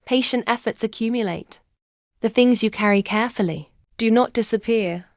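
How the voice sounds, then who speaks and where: Gentle woman narrating a sleep story. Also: a little high, dry recording, clean studio sound. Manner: slow, gentle, reflective. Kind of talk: scripted first-person sleep story narration.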